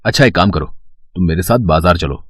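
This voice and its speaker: An actor playing a soothing, conciliatory young husband in a family story. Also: male voice, low-pitched, fast talker, a bit bright, no echo, studio quality.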